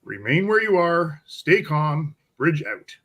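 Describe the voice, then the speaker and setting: A man's voice: a little high, very animated, slow pace, a bit bright, full character voice. A man playing a composed, formal starship officer in an amateur radio play. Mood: commanding.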